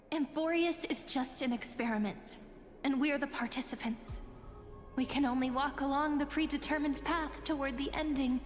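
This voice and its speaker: A woman's voice: high-pitched, long reverb tail. An actress playing a playful, confident young woman with a light, teasing delivery for a game. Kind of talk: game voice acting.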